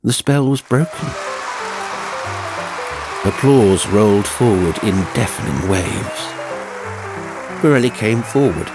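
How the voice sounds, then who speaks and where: A man reading a horror audiobook as narrator, with deliberate, suspenseful, dramatic storytelling. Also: lowish voice. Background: music and sound effects.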